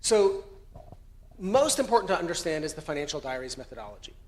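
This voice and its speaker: A man's voice: a little high, sing-song, fairly quick. A man with an American accent, raised in Colombia, giving an earnest, conversational conference presentation about research findings. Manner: conversational, earnest, self-correcting. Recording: long hall reverb.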